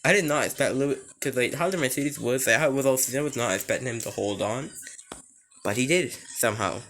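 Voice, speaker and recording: A man with a hoarse, nearly lost voice, giving an excitable, rambling casual reaction to a race. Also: mid-range voice, fast talker. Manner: excitable and rambling, many restarts and "like"s. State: hoarse, voice almost gone. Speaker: racing fan reviewing a race.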